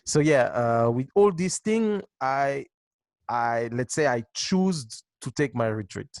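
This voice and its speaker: A man in his twenties, a dance teacher, answering interview questions with halting restarts and frequent laughs. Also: medium pitch, expressive, a little mellow, no room sound. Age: 20s.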